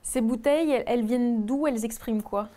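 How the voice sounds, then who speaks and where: Higher-pitched woman presenting an interview. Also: quick pace, warm tone. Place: indoors in a restaurant and wine shop.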